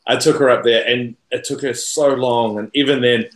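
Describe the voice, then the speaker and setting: A man from New Zealand with a loud voice, chatting as a guest on an online call. He talks casually, full of jokes and self-mocking asides. His voice rings out in a roomy space. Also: mid-range voice, steady tone, normal speed. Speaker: podcast guest. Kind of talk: casual chat. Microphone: USB mic, online call.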